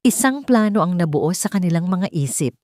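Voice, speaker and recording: A woman's voice: fairly low pitch, lively intonation, brisk, darkish tone, no echo, clean studio sound. A woman narrator reading a scripted, dramatic love story.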